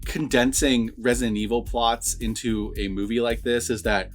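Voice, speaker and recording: A man's voice: medium pitch, steady tone, normal speed, faint music behind. A man chatting casually and profanely as a movie review co-host, rambling and repeating himself for emphasis.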